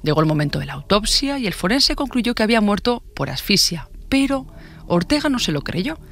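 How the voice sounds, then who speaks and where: Male true-crime narrator, Spain accent, higher-pitched voice, fast delivery, scripted storytelling. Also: sing-song, vocal fry, a bit bright. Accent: Spanish, from Spain. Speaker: podcast narrator.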